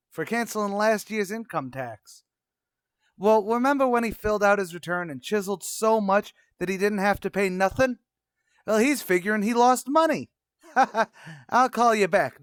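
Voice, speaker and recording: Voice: mid-range voice, normal speed, clean tone, no room sound, natural voice. Someone playing a brash New tavern manager. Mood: breezy. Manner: breezy, mock-erudite, brash. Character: wisecracking, pompous, malaprop male tavern manager Archie. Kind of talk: old-time radio comedy acting.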